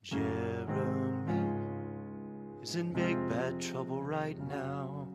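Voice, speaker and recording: A determined middle-aged dad singing in a stage musical. He is heartfelt and slow-paced, over loud guitar and band music. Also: mid-range voice. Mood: earnest resolve. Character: earnest, determined middle-aged father rallying himself. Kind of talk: sung musical theatre number.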